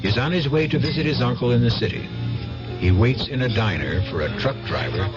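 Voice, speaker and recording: A man hosting and narrating a radio drama in a deliberate, theatrical scripted style. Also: mid-range voice. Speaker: host and narrator. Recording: muffled old radio broadcast with music.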